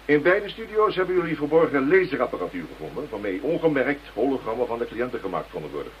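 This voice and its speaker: A man acting out a senior police commissioner in a radio play, skeptical and dryly sarcastic. He talks fast with a lively, swinging pitch. The recording is dull and lo-fi, with faint hiss behind him. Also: close to an everyday voice. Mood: brisk.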